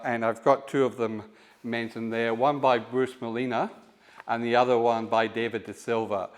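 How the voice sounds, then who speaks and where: A middle-aged man giving an informal church teaching talk, pausing often with ums and now and then laughing along with the group. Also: medium pitch. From born in Scotland, raised in Melbourne. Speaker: guest teacher. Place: church hall.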